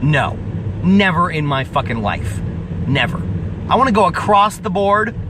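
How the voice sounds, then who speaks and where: A man's voice: sits high, very animated, unhurried. Profane young man ranting about cars outdoors. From US Pacific Northwest. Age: 20s. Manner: profane, emphatic, repeats himself, laughs at own jokes. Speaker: car vlogger. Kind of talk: casual ranting vlog. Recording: outdoor recording, mic at a distance, traffic noise.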